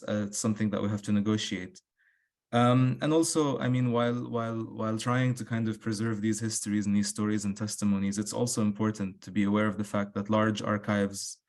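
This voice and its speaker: A man's voice: on the low side, a little mellow. A man answering thoughtfully on an online panel over a video call, hesitant and often repeating words. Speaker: workshop writer.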